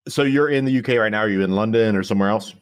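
A man with a very low voice hosts a podcast interview in a playful, jokey way. He laughs easily and keeps his questions loose and casual. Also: rapid-fire. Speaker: podcast host.

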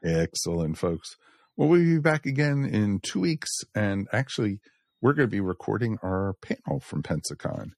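A man's voice: lowish voice, big pitch swings, normal speed, creaky, dry recording, clean studio sound. A man hosting a podcast, chatting casually and addressing listeners in a folksy way. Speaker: podcast host.

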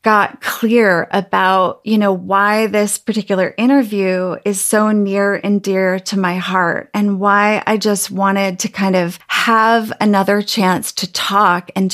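A woman hosting a podcast interview, earnest and unhurried, with frequent fillers. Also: mid-range voice, flat delivery, a bit bright. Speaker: podcast host.

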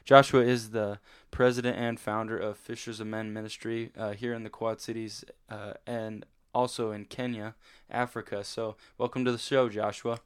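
A man hosting a faith podcast, moving from an upbeat scripted intro to relaxed questions full of small fillers. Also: on the low side, normal speed, clear, resonant tone, no echo, clean studio sound. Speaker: podcast host and pastor. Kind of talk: interview podcast.

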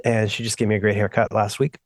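A man's voice: fairly low pitch, quick pace, dry recording, clean studio sound. A middle-aged man co-hosting a podcast, chatting casually and telling stories in a rambling way that keeps stopping and restarting itself. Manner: rambling, self-interrupting, animated storytelling. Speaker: podcast co-host. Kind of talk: casual chat.

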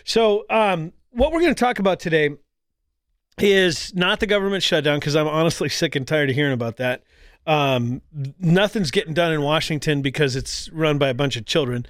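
A man's voice: a little high, normal speed, dry recording. Chatty male talk show host, dry studio sound. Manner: chatty, rambling, frequent fillers and restarts. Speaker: podcast and radio show host. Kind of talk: casual talk show chat. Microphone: studio microphone.

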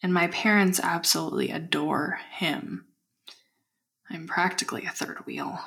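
A woman playing an unsettled young woman secretly confiding an audio diary in a halting, breathy half-whisper. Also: lowish voice, steady tone, exaggerated voice. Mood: furtive, wistful. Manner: halting, hushed, introspective. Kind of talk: intimate found-footage audio diary acting.

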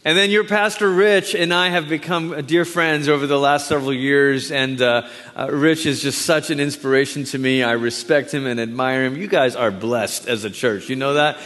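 A man from Malaysia, a guest preacher, opening his sermon in a warm, conversational way with chatty asides. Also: mid-range voice, normal speed. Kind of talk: sermon introduction. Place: large church sanctuary. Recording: long hall reverb.

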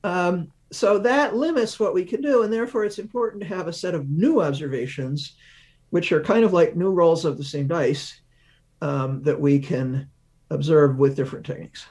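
A man being interviewed as a research physicist, explaining ideas through examples with thoughtful pauses. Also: fairly high pitch, darkish tone.

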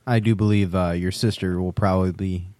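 A man with a fairly low voice chats casually as a podcast co-host. His delivery is wry and sarcastic, full of ribbing. The recording is dry and free of room sound. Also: steady tone, brisk, darkish tone, dry recording. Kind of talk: casual chat.

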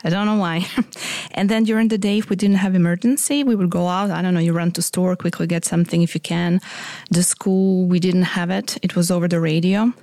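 A woman's voice: fairly low pitch, fairly even intonation, brisk, warm tone, dry recording. A woman in her forties from Croatia, speaking English with a Croatian accent, giving a reflective personal testimony in an interview.

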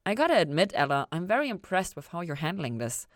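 A frank female therapist character in an audiobook-style story, acted out by a woman reader. Her voice sits a little low in a dry recording, and she confides in an emphatic, reassuring, conversational way. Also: sing-song, fast talker, dry recording. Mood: wry.

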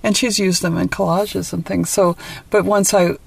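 A woman with a gravelly, creaky edge to her voice speaks casually as a podcast interviewer. The sound is dry, with hardly any room on it. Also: lowish voice, fairly even intonation, normal speed, dry recording.

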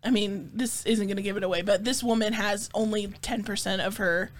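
A woman's voice: medium pitch, steady tone, brisk. A woman, a financial planner, co-hosting a casual chat in a dry, matter-of-fact way.

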